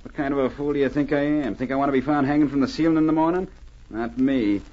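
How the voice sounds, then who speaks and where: An actor playing a surly, scared outlaw prisoner who talks in defiant, ungrammatical frontier slang in an old radio drama. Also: male voice, medium pitch, quick pace, close to an everyday voice. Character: surly, scared male outlaw prisoner in the gold-rush North. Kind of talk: 1940s radio drama acting. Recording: muffled old radio recording.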